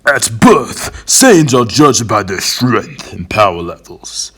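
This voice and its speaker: A man acts out a cruel, haughty alien warrior in an amateur anime dub. The voice is husky and unhurried, with sneering, taunting delivery that treats opponents as trash. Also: lowish voice, very animated, fairly bright tone, exaggerated voice. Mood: contemptuous. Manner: sneering, taunting, slow and deliberate. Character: arrogant, cruel elite alien warrior, male, mocking.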